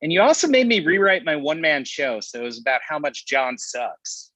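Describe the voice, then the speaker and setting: A man's voice: fairly high pitch, expressive, a little fast, fairly bright tone, dry recording. A man playing a worried, mild-mannered friend, conversational and conflicted, in a comedy table read. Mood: defensive. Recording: dull, close dry microphone.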